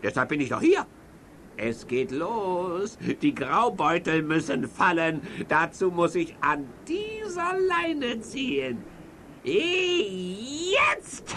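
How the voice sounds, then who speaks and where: An actor playing a grumpy, blustering little villain who cackles theatrically in a children's audio play. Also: male voice, high voice, very animated, slow pace, noisy background, heavily acted. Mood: gloating. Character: grumpy little cartoon villain gleefully greying the world.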